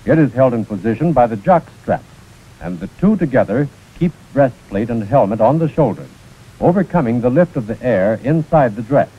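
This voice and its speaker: A man's voice: mid-range voice, expressive, moderate pace, slightly rough. An American man narrating a scripted 1940s instructional film in a precise, formal manner. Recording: muffled, scratchy 1940s film soundtrack.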